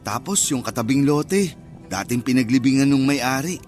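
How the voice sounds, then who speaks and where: A man's voice: medium pitch, lively intonation, normal speed, darkish tone, faint music behind, natural voice. An actor playing a superstitious, easily spooked young man chatting in slang in a radio drama. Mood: casual. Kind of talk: radio drama dialogue, casual banter.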